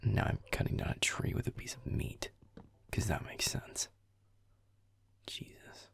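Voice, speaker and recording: A man's voice: measured pace, in a whisper, natural voice. A man playing a grumpy, defensive werewolf boyfriend in a roleplay audio, muttering sarcastically as he plays a video game. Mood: irritated. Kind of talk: roleplay audio, gaming chat with listener.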